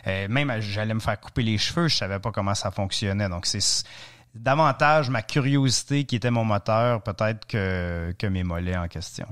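A man in his thirties from Eastern Ontario with a Franco-Ontarian French accent, a podcast guest telling stories in a chatty, joking way, often pausing with fillers. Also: on the low side, fairly bright tone, clean tone. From Eastern Ontario, Canada. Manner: chatty, joking storyteller with many hesitations. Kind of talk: casual podcast interview.

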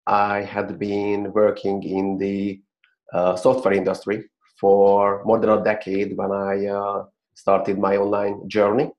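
Hungarian-accented man in his thirties, low monotone voice, earnest and halting, dull webcam call sound. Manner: earnest, halting with many "uh" fillers. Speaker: guest. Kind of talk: interview over video call. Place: small plain-walled room. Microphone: video call webcam. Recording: dull lo-fi video call audio.

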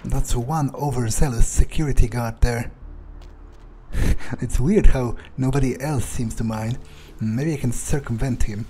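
A man's voice: medium pitch, measured pace, mellow, slightly rough. A man narrating his character's thoughts aloud during a game, hesitant and musing, with muttered curses. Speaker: gamer playing in character. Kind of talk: in-character roleplay monologue over gameplay.

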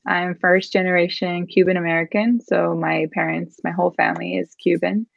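Casual woman in her 30s, low-ish voice, webcam audio, a bit muffled. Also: flat delivery, normal speed, creaky. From Miami, Florida. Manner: chatty, laughs easily, many "like" and "um" fillers. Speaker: guest photographer. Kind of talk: casual video-call interview. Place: home room with a chair. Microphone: webcam on a video call. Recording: dull, slightly lo-fi video-call audio.

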